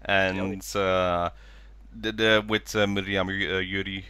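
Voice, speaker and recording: A man's voice: low voice, little pitch movement, slow pace, sharp tone, clean tone, dry recording. A man hosting a casual fan discussion podcast, slightly stuffy-nosed with a cold coming on. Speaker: podcast host.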